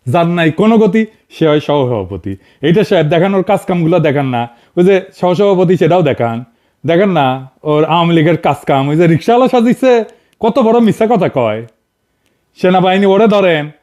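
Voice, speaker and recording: A man's voice: on the high side, a little mellow. A man with a northern Bangladeshi accent giving informal political commentary, colloquial, sarcastic and emphatic, addressing people directly. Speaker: video commentator and host.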